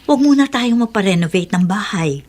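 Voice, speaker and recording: Casting: actress as middle-aged wife in radio drama, soft, mellow voice, concerned. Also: female voice, mid-range voice, expressive, fairly quick, close to an everyday voice. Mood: tender, concerned. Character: soft-spoken concerned wife of overseas worker. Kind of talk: Tagalog radio drama acting.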